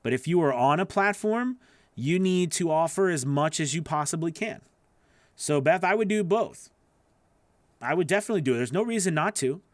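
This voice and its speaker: A man answers viewer questions on a casual livestream, his voice sitting a little high. He rambles, restarts and leans on filler phrases. The sound is close and dry, with no room echo. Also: expressive, normal speed. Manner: rambling, self-correcting, lots of you know. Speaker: voice-over coach answering live questions.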